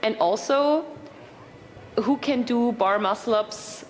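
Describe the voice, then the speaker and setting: A woman's voice: on the high side, slow pace, a little hiss or hum. A woman co-commentating live on a fitness competition, enthusiastic and reactive. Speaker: event co-commentator.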